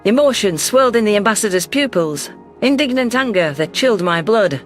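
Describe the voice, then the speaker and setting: A man's voice: sits high, lively intonation, a little fast, fairly bright tone. A man narrating a dramatic scripted science fiction story. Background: faint music.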